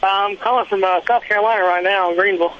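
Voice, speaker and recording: A man calling a radio show, speaking casually and haltingly with a polite, hesitant manner. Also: high voice, steady tone. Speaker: caller, truck driver. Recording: dull, hissy call with faint noise.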